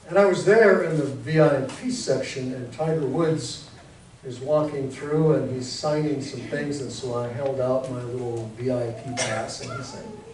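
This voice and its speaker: An American man in his sixties preaching a sermon as a pastor, telling it conversationally in short, deliberate phrases. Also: medium pitch, fairly even intonation, a little mellow. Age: 60s. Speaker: Lutheran pastor. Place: church sanctuary in front of a congregation. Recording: very echoey church hall, distant mic, faint noise.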